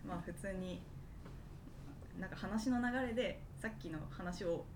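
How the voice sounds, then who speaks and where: A woman's voice: mid-range voice, a little slow, distant mic, background noise. A woman co-hosting a podcast, telling personal anecdotes in a casual, self-deprecating, confessional way. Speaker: podcast co-host.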